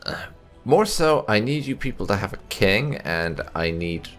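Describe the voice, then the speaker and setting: A man's voice: fairly low pitch, sing-song, moderate pace, a bit bright, faint music behind. A man hosting a casual roleplay let's-play, musing and hesitating as he talks. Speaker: gaming channel host.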